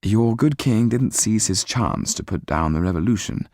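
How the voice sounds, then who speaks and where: A man voicing a measured, grave gentleman with firm convictions in an audiobook story. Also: fairly low pitch, animated, no echo, clean studio sound, voice pushed up, lightly acted.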